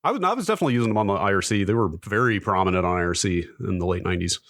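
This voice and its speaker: A man's voice: low voice, lively intonation, rapid-fire, a bit bright, no echo, clean studio sound. A middle-aged American man co-hosting a podcast in casual, conversational talk. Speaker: podcast co-host. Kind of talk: casual chat.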